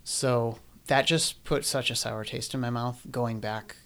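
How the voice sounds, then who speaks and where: Gravelly young man, relaxed and rambling. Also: mid-range voice, a little slow, a crowd in the background. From Illinois, Midwest US. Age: 20s. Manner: relaxed, rambling, hesitant with fillers. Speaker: musician guest. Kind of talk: casual interview chat.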